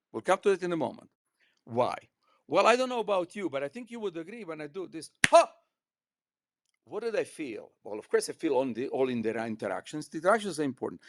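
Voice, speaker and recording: An older man with a Croatian accent, talking as a physics professor in an interview in an emphatic, digressive way, often repeating words haltingly. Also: mid-range voice, no room sound, studio quality. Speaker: physics professor, podcast guest. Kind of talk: unscripted, discursive interview talk.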